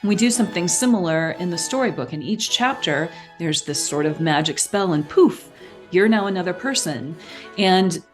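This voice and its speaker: A woman's voice: lowish voice, animated, moderate pace, soft background music. A woman guest explaining things conversationally and expressively, acting out little examples as she goes. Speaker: podcast guest, artistic director and writer.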